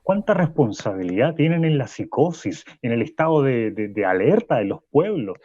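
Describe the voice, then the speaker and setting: Husky, halting weary professor. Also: male voice, mid-range voice, sing-song, mellow, dull audio. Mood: earnest. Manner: halting, repeats words, earnest. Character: weary, regretful male professor, earnest and troubled. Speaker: interview guest. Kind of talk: radio drama acting as a live interview.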